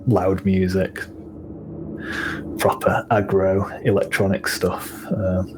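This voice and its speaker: A man with a Manchester accent, a guest musician in a casual podcast chat, talking in a low-key, rambling way with many ums. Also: little pitch movement, takes it slowly, over music. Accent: Northern English (Manchester). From England. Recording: audible background noise.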